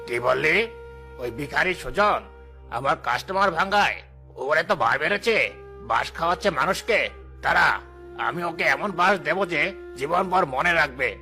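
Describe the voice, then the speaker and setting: A man's voice: fairly high pitch, animated, moderate pace, pauses often, sharp tone, soft background music, heavily acted. A man playing a jealous, scheming village moneylender in a cartoon, with a menacing, boastful, commanding manner. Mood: angry, threatening. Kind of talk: animated cartoon dialogue.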